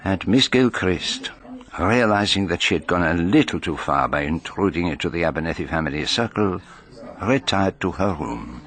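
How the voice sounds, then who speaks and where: Low-voiced, formal foreign detective. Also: male voice, animated, fairly bright tone. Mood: dry, reflective. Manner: measured, formal, precise. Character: shrewd, courteous foreign male detective, inwardly brooding. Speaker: detective narrating his own case. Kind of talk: radio drama narration and dialogue.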